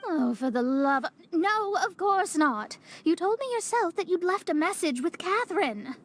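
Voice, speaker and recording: A woman's voice: very high voice, animated, normal speed. A woman voicing a proud, sardonic and easily exasperated young astrologist in a video game. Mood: flustered, exasperated.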